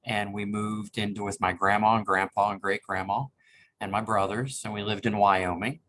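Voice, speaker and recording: Older man in his sixties, low voice, polite and reflective, oral history interviewee. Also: flat delivery, moderate pace, fairly bright tone. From Cincinnati, Ohio. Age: 60s. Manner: polite, reflective, with frequent ums. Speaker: interviewee, reference librarian.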